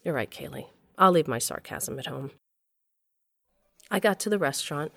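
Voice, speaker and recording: A woman's voice: sits low, lively intonation, moderate pace, gravelly, no room sound, heavily acted. An actress playing a wry, wounded middle-aged wife and mother, telling her own story in an audio drama. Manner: wry, sardonic. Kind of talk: first-person audio drama narration and dialogue.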